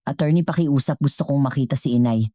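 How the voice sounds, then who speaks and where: A woman's voice: a bass voice, quick pace, dark tone, no room sound, studio quality. A woman voicing a humbled, remorseful adult son in a dramatic story, respectful and earnest as he pleads with his mother. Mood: remorseful, pleading.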